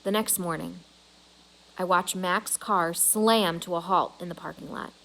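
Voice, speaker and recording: Bright-voiced woman reading aloud. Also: lowish voice, fairly even intonation, a little slow, gravelly, rough recording. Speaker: reader of a children's novel. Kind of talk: read-aloud storytelling.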